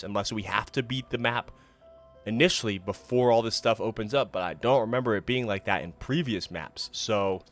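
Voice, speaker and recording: A man talking casually through game menus as he thinks aloud, still recovering from an illness and sounding a little rough. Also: on the low side, a bit bright. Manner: chatty, thinking aloud while browsing menus. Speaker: gaming YouTuber. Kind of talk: casual gameplay commentary.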